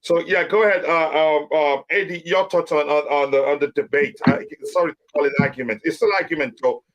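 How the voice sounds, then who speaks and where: Man host, losing his voice, stammering, slightly high pitch, video call. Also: steady tone, fairly quick, rough recording. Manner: hesitant, stammering restarts. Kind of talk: live stream debate hosting.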